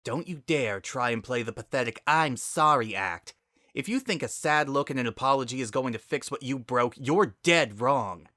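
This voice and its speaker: A man plays an angry pony big sister in a storybook drama. The voice is hard-edged and lifted in pitch, full of sarcasm and confrontation. It sounds close and dry. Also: lively intonation, moderate pace, bright, forceful tone, dry recording. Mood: angry, threatening. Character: angry, sarcastic female pegasus pony, bitter older sister.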